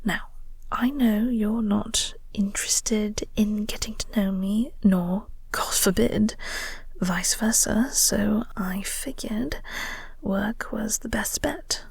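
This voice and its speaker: A woman playing a flirty, teasing vampire office co-worker in an intimate audio roleplay, speaking softly and breathily with a coy, unhurried manner. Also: mid-range voice, no room sound, exaggerated voice. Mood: calm, soothing. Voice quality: soft, breathy, whispery. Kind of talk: intimate audio roleplay, whispered ASMR-like acting.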